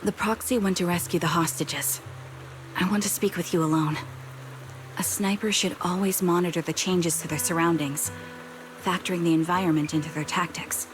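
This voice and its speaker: An actress playing a resolute young sniper in a video game, with a calm, measured and formal delivery. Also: female voice, on the low side, lightly acted. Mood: composed. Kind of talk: dramatic video game voice acting. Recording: music behind the voice.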